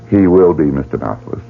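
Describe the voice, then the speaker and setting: A suave, sinister club director. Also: male voice, low-pitched, gravelly, slight background noise, full character voice. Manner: theatrical, suave, teasing. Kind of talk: 1940s radio drama acting. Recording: phone-like old radio broadcast.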